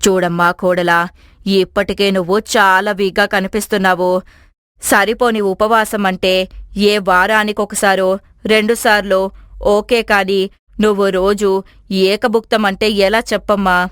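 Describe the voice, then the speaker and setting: A woman's voice: mid-range voice, little pitch movement, measured pace, fairly bright tone, clean tone, no room sound, clean studio sound, natural voice. A woman voicing a strong-willed, persuasive middle-aged mother-in-law in a family story cartoon. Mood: concerned, puzzled.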